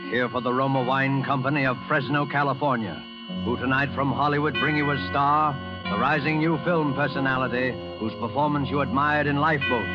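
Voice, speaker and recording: A man hosting in a formal 1940s radio announcing style, theatrical and measured. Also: mid-range voice. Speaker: announcer and host. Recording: muffled old radio broadcast with music.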